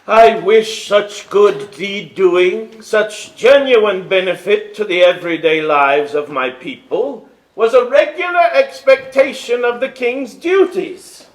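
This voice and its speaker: A man's voice: high-pitched, slow pace. An actor playing a kindly, weary king in a stage musical reading, speaking formally and earnestly. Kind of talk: theatrical stage acting. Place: indoor hall, live staged reading. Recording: roomy live stage pickup.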